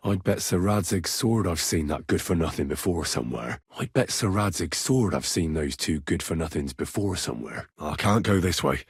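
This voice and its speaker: A young medieval video game hero, with a slightly husky voice recorded close and dry. He is earnest and wry, mutters his thoughts to himself and curses readily, with pitch that moves widely. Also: animated, moderate pace, hardly any pauses, dry recording, full character voice. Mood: musing, curious. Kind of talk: acted video game voice lines.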